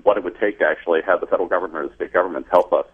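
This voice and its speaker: Narrow phone line, man caller, American accent, deep husky voice, casual explaining. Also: very fast. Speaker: call-in listener, community college teacher. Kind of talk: casual explaining on a call-in show. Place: phone call to a radio show.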